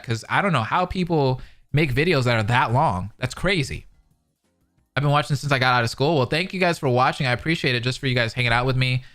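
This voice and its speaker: A man's voice: medium pitch, bright, forceful tone. An American man chatting casually with livestream viewers in a slangy, laid-back, conversational way. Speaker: streamer, reaction commentator. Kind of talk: casual livestream chat with viewers, reading out comments.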